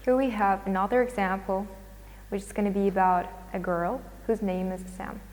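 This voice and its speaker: Woman teacher going over english grammar, slow and explaining, echoey reverb on it. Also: mid-range voice, fairly even intonation, a little mellow. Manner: explains slowly, reads examples aloud. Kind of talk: recorded grammar lesson.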